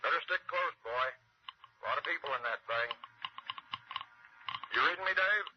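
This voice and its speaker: A man's voice: fairly high pitch, animated, moderate pace, lightly acted. A man acting a terse airline radio caller in a 1960s radio drama. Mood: matter-of-fact. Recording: dull radio transmission with faint noise.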